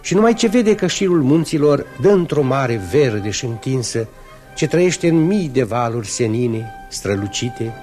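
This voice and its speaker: Mellow lyrical male narrator. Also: mid-range voice, sing-song, faint music behind. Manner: measured, lyrical. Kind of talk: scripted storybook narration.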